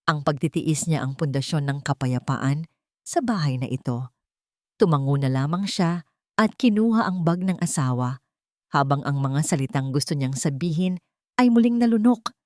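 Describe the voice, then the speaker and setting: A woman's voice: low voice, moderate pace, a little mellow, dry recording, studio quality. A woman with a Filipino accent narrating a scripted dramatic story.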